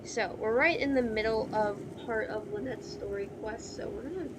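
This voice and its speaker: A woman's voice: fairly high pitch, moderate pace, very noisy. A woman livestreamer talking casually to her chat while playing a game, chatty and informal. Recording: game music behind the voice. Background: video game music.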